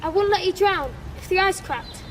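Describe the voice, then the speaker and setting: An actress playing a blunt, defiant but loyal young child in a naturalistic radio drama. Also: female voice, very high-pitched, moderate pace, slight background noise. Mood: earnest.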